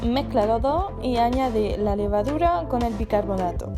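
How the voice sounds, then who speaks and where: A woman's voice: on the high side, moderate pace. French-accented woman narrating a recipe. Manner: steady step-by-step instructions. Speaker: baking vlogger. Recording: voice-over with music behind.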